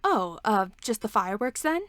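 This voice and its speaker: A woman's voice: mid-range voice, big pitch swings, moderate pace, fairly bright tone, no room sound, studio quality. A woman voicing a genial but awkward male town leader who is eager to please in a storybook reading. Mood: awkward, uncertain.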